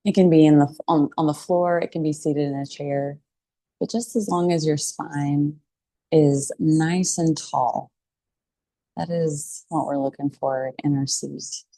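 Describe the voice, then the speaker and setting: A woman teaching a guided meditation in a calm, soothing, unhurried way with pauses between phrases. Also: sits low, no room sound.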